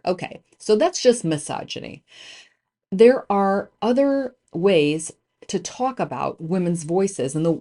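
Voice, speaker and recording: A woman with a low-pitched voice hosts a business podcast. She speaks emphatically and conversationally, often pausing on fillers and restarting her sentences. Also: darkish tone. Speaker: podcast host. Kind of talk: podcast intro and interview.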